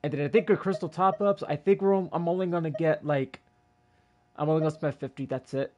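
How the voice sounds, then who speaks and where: A man chatting casually as a game livestreamer, rambling in a halting way and repeating himself. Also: fairly high pitch, steady tone, a little mellow, dull audio.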